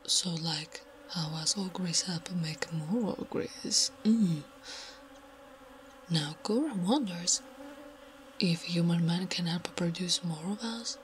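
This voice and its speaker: A woman voicing a big, strong but gentle and naive orc warrior woman in an ASMR roleplay, halting and soft-spoken, half-whispering in simple broken speech. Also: lowish voice, a little hiss or hum. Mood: curious, confused.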